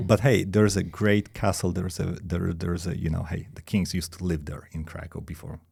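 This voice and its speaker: A man in his forties with a Polish accent, a guest in a casual interview. His voice sits deep and a bit husky, with a dark, mellow tone. He talks in halting restarts, sprinkled with "you know" and "hey". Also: fairly even intonation. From Poland. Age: 40s. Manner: hesitant, repeats words, says "you know" and "hey" often. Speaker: guest, director of engineering.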